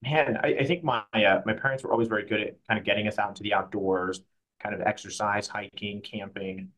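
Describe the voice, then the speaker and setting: Man, fast talker, conversational, self-correcting, interview guest. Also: fairly low pitch, fairly even intonation, fairly bright tone, poor audio. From Mexico. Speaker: guest, private client advisor.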